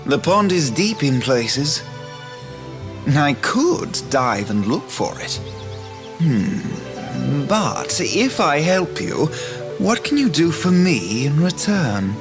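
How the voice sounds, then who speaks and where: An actor playing a sly, cheeky talking frog in a video game, wry and drawling. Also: male voice, a little high, big pitch swings, slow pace, over music, full character voice. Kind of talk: video game character acting.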